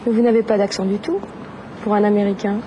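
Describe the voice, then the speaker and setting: An actress playing a lively young French woman student who asks curious questions, speaking on a city street. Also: mid-range voice, quick pace, background noise, natural voice. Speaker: lead character in a language course drama.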